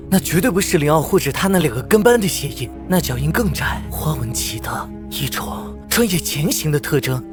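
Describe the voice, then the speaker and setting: An actor playing a reborn young mecha commander in an animated drama, vengeful, determined and brooding in manner. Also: male voice, fairly high pitch. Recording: music behind the voice.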